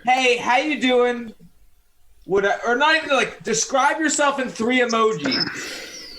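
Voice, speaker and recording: Co-host, man, very high bright voice, animated and teasing, faint noise behind. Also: takes it slowly, rough recording. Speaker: podcast co-host. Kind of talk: casual chat.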